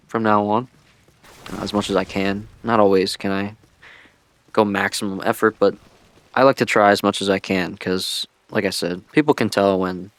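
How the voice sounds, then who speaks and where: A man giving casual, laid-back gaming vlog commentary in a rambling way, on an old-school headset-style mic. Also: on the low side. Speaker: video creator and streamer. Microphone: headset-style microphone, deliberately old-school sound.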